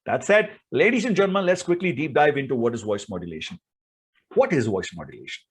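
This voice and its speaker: A man's voice: medium pitch, big pitch swings, quick pace, breathy edge. A man with an Indian accent giving an instructional talk as a speaking coach, in an emphatic, theatrical way full of rhetorical questions.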